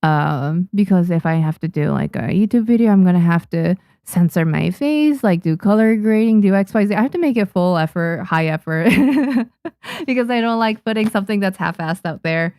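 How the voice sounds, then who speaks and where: A woman's voice: mid-range voice, expressive, moderate pace, a little mellow, clear, resonant tone, dry recording, clean studio sound. A young woman, a native Japanese speaker, chatting casually on a live stream, reading and answering viewers' questions with many restarts and fillers. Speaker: streamer and manga artist.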